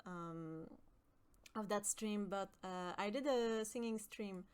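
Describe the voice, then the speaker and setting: A young woman from with a high voice, chatting with her stream viewers and often pausing with fillers. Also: a little mellow, smooth. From Quebec. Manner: hesitant, many fillers and restarts. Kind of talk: casual chat with stream viewers.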